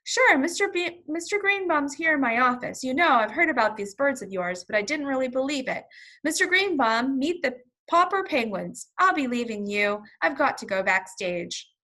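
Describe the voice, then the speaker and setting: A woman reading the part of a brisk, chatty male theater manager in a children's storybook, speaking with easy familiarity. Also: high voice, very animated, sharp tone, exaggerated voice. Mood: brisk, friendly. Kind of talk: children's storybook read-aloud.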